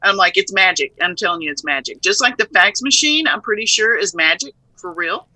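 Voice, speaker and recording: Very bright-voiced woman, lively and playful. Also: mid-range voice, brisk, no echo, low-quality recording. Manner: lively, playful, laughs easily. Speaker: software trainer and company head. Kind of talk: casual live video interview.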